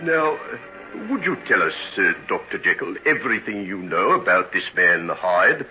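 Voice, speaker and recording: An actor playing a polite but persistent Victorian police inspector in an old-time radio drama. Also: male voice, low voice, very animated, moderate pace, pushed and bright, faint music behind, heavily acted. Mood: probing, polite. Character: probing, methodical male police inspector of Victorian London. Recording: dull vintage radio recording.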